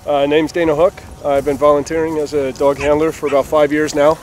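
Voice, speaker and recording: A man in his forties gives a casual interview as a volunteer dog handler. His voice sits a little high. Faint noise of an open field is behind him. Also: flat delivery, brisk, darkish tone.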